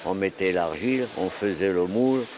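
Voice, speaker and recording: A man in his sixties, a carnival float builder, explaining things in a direct, animated way in a large workshop. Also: sits low, normal speed. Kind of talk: casual interview. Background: workshop noise.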